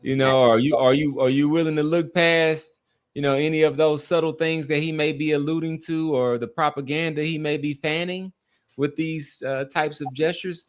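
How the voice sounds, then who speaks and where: A man's voice: on the high side, fairly even intonation, moderate pace, clear, resonant tone. A man hosting a casual sports talk podcast, speaking emphatically and often repeating his phrases for weight.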